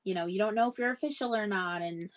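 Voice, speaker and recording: A young woman talks informally about a book on a video call. Her voice has an average pitch, and she feels her way through thoughts, often restating a phrase. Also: fast, crowd noise. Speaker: book club livestream co-host. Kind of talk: casual book discussion chat. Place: indoor room at home, on a video call.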